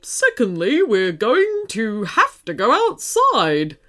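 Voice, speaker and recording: A woman's voice: a little high, wildly swooping pitch, slow, bright, forceful tone, full character voice. A woman voicing a small, polite, nervous male teddy bear in a children's story, speaking hesitantly. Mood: earnest, helpful.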